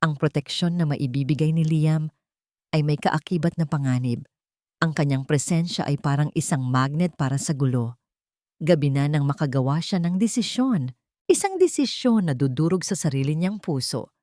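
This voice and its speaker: A woman narrator tells a dramatic story from a script. Her voice sits low for a woman. The sound is dry, with no room around it. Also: expressive, moderate pace, warm tone, no room sound.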